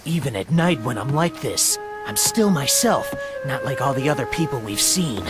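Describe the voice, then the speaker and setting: A man's voice: medium pitch, very animated, normal speed, loud music behind the voice, lightly acted. An actor voicing a young male hedgehog hero in a video game, speaking with curious, sincere questions.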